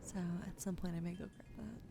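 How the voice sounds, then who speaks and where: A young woman whispers close and soft in ASMR style, her voice creaky and gravelly at the edges. Her throat is wheezy, and she chats casually, thanking viewers and swearing now and then. There is a clear hiss of noise under her stream mic. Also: lowish voice, steady tone, fast talker.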